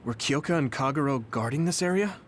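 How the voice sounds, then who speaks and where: Expressive, earnest young male squad leader played by an actor. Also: mid-range voice, a little fast, very noisy, close to an everyday voice. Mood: curious, decisive. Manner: sincere, reassuring. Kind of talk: anime-style video game voice acting.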